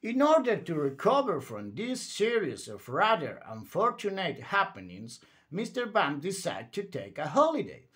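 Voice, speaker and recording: A man's voice: sits high, wildly swooping pitch, normal speed, a bit bright. A man reading a children's story aloud in a theatrical, emphatic bedtime read-aloud style.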